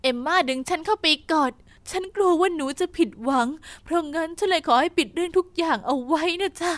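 A woman voicing a gentle, soft-spoken young stepmother in a narrated story. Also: extremely high pitch, fairly quick, dry recording. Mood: tender.

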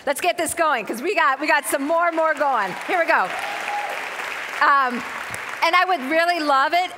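A woman's voice: high-pitched, measured pace. A woman with an American accent hosting on a theatre stage, giving a casual, gushing, informal introduction. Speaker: event host. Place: large theatre stage, indoors. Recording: reverberant hall, faint crowd and noise.

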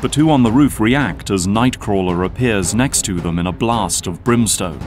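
Low-voiced man narrating over music. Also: moderate pace. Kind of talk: scripted narration of action drama.

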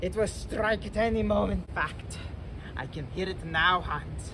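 A man's voice: sits high, a little slow. A young man playing a desperate, fanatical German soldier in an amateur war parody, stammering and melodramatic. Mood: fervent, deluded. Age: young adult. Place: outdoors on an urban vacant lot. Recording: noisy outdoor recording with traffic.

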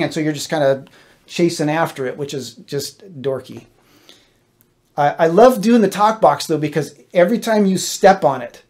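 American man, middle-aged, fairly high voice, casual instructional talk, wry self-deprecating manner, close mic, home studio. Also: darkish tone. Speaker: guitarist giving gig tips. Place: small home studio with acoustic foam. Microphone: close stand-mounted dynamic mic.

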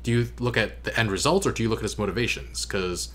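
Low-voiced young man, halting and earnest. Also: fairly bright tone. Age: young adult. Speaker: reaction video host. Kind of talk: casual reaction commentary. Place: small bedroom, indoors. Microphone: desk microphone.

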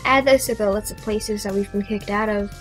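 A woman's voice: mid-range voice, fairly even intonation, fairly quick, soft background music, close to an everyday voice. Woman acting snippy, defiant young troublemaker. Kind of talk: audio drama acting.

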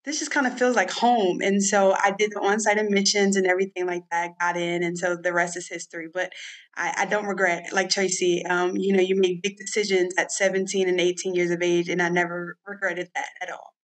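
A woman's voice: lowish voice, little pitch movement, brisk, poor audio. Candid, chatty woman alumna panelist. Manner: candid, chatty, self-mocking. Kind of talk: storytelling on a panel. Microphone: video call.

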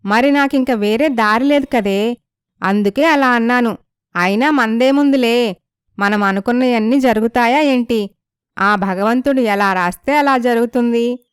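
Actress as feisty, clear-voiced young woman. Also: a little high, a little slow, dry recording, studio quality, natural voice. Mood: wistful. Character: feisty, proud young unmarried woman from poor family. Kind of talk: animated moral story dialogue.

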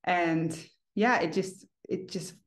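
A woman's voice: on the low side, slow pace. A woman, chatting in a friendly, casual way as a guest on a video call from home. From Netherlands. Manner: friendly, chatty, with fillers and restarts. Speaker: guest, horse owner. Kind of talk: casual interview chat. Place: home room.

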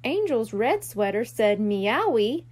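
A woman narrates a children's storybook as a reader. Her voice is high and her delivery is expressive, with lively rises and falls. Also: measured pace.

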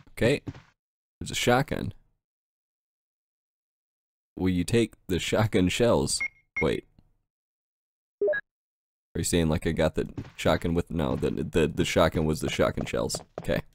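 A man's voice: low-pitched, vocal fry, slight background noise. A man giving casual let's play commentary, crude and exclamatory, swearing freely. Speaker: gaming video host.

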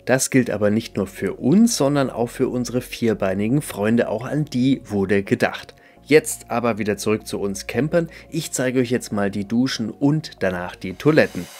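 A man in his thirties reviewing a campsite in a relaxed, chatty way, talking from inside his camper van with a clip-on mic. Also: mid-range voice, moderate pace, few pauses, faint music behind. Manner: chatty, animated, gesturing with hands. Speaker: camping vlogger. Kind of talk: casual review vlog with voice-over. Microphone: small clip-on mic.

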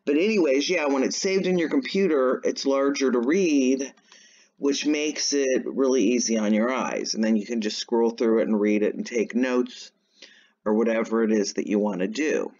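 Guy rambling thru a screen recording, mid pitch, kinda dull lo-fi mic. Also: normal speed, a little mellow. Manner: chatty and rambling, frequent "um" and "anyways". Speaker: channel host. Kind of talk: casual screen-share walkthrough narration.

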